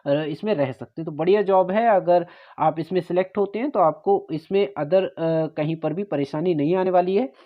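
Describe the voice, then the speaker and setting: A woman giving an informal, instructive explainer, taking the listener through things step by step. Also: on the low side. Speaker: presenter of exam and job information videos. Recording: dull lo-fi voice recording.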